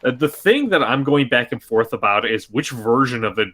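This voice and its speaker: Bright-voiced man musing casually. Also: medium pitch, lively intonation, normal speed. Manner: thoughtful, hedging with fillers. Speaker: voice modder. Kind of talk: casual group chat on a stream.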